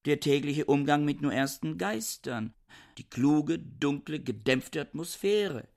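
A man's voice: a little high, lively intonation, measured pace, gravelly, a little mellow. An actor playing a pedantic, reclusive male scholar who muses drily to himself in a radio drama. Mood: disdainful musing.

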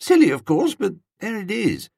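A man playing an earnest, foppish young upper-class British gentleman in a comic audiobook, slangy, emphatic and hesitant. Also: high-pitched, huge pitch swings, normal speed, vocal fry, breathy edge, dry recording, clean studio sound, voice pushed up, full character voice. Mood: earnest, awkward.

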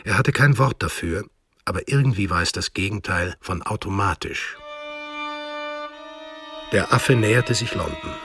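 Narrator: low-voiced man, standard German, scripted literary thriller storytelling, music running underneath. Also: measured pace, long pauses. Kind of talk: scripted radio drama narration.

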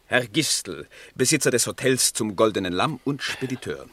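An actor in a German radio play as a smooth-tongued, ceremonious party spokesman and factory owner, speaking in formal oratory. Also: mid-range voice, sing-song, moderate pace, sharp tone.

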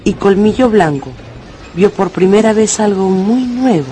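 A man narrating a dramatised radio story with theatrical, deliberate phrasing. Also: sits high, expressive, mellow, slight background noise. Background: music.

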